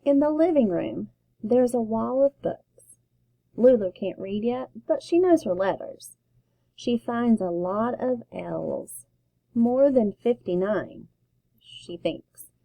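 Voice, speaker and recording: A woman teacher reading a children's picture book aloud, with slow, expressive storytelling. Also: fairly high pitch, creaky, dry recording, low-quality recording.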